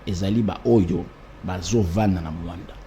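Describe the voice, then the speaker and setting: A man speaks in a low, dark, mellow voice. He argues emphatically and repeats himself to drive each point home. Faint room ambience sits behind him. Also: normal speed, slight background noise. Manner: emphatic, repetitive, rhetorical lists. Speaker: political party representative. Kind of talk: political interview, mixing French and Lingala.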